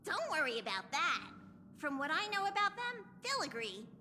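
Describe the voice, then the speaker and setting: A woman voicing a breezy, teasing, lively female character in a video game. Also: very high voice, normal speed, long reverb tail, a little hiss or hum, exaggerated voice.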